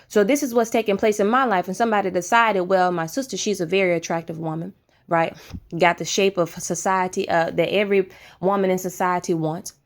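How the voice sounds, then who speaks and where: A woman's voice: lowish voice, steady tone, a little fast. A woman giving a casual spiritual testimony, earnest and insistent, who keeps tagging her points with "right?". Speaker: vlogger sharing spiritual testimony. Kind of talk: casual monologue.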